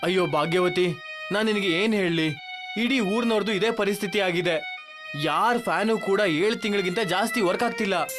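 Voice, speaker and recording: A man voicing an earnest, aggrieved village husband in an animated moral story. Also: high voice, moderate pace, over music, natural voice. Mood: resigned. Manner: plaintive, earnest.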